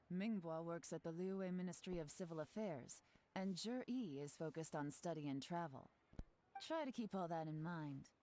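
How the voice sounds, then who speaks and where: An actress playing a cool, confident female covert agent in a video game, wry and brisk. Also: fairly low pitch, dark tone, a little hiss or hum, lightly acted. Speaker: game character. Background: game soundtrack music.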